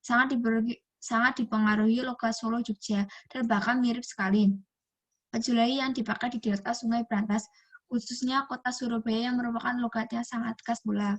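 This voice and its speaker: A woman's voice: on the high side, fairly even intonation, a little fast, low-quality recording. A young woman from Indonesia, a university student reading a class presentation aloud from a text and often restarting words. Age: young adult.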